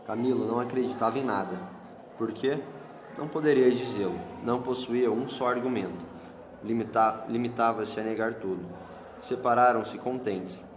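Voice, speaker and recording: A man's voice: medium pitch, moderate pace. A man narrating a scripted literary story for an amateur radio play. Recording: echoey hall with clear noise.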